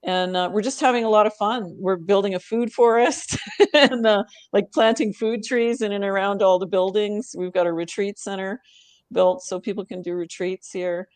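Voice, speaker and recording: A woman's voice: mid-range voice, fairly even intonation, moderate pace, no room sound. Woman guest, easygoing and thoughtful, laughs often; close, dry recording. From Canada. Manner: warm, thoughtful, laughs often, frequent restarts. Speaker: podcast guest. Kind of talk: casual interview chat.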